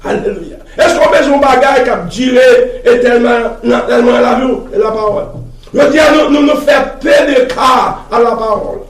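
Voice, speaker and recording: A man's voice: extremely high pitch, normal speed. A man with a Haitian accent and native Haitian Creole, preaching in an emphatic, repetitive way punctuated with exclamations of praise. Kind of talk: fervent sermon mixing Creole and French. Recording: echoey room, distant mic, crowd noise.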